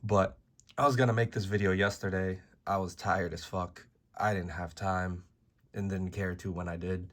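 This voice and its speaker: A man with a very deep, hoarse voice, rough from being sick and having lost it days ago. He rants straight to camera for his card-game channel, blunt and exasperated, cursing freely as he argues his point. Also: fairly even intonation, moderate pace. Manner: blunt, profane, exasperated ranting. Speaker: trading card game YouTuber. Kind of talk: ranting video commentary.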